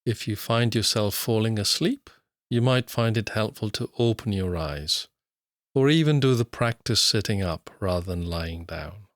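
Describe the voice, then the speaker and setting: A man guiding a body scan meditation, speaking slowly and calmly with long pauses. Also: fairly low pitch, no room sound, clean studio sound. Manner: slow, calm, soothing, with long pauses. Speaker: mindfulness teacher.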